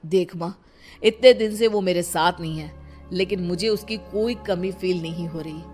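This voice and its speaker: A woman's voice: fairly low pitch, normal speed, a little mellow, faint music behind, close to an everyday voice. A woman voicing a discontented, dismissive adult son and husband in a cartoon story, curt and complaining. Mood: disdainful.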